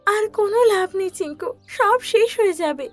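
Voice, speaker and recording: A woman's voice: very high voice, normal speed, soft background music, pitched above the performer's own voice. A woman voicing a reproachful, distressed mother parrot in a cartoon. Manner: reproachful, pleading.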